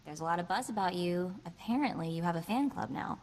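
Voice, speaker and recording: A woman voicing a quick-witted, sardonic young female hacker in a space video game, chatty in manner. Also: on the low side, animated, a little mellow, slight background noise, close to an everyday voice. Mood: wry, uneasy.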